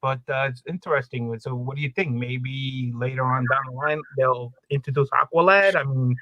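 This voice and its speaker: A man's voice: mid-range voice, normal speed, no room sound. A man hosting a casual live chat in an easygoing, loosely phrased conversational way, with muffled sound.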